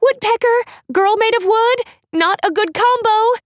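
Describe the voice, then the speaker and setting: A woman's voice: high voice, expressive, moderate pace, no echo, studio quality, pitched above the performer's own voice. A woman voicing a nervous teenage girl made of wood, frantic and shrieking as she panics. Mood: panicked. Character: panicky wooden teen girl, high and shrill.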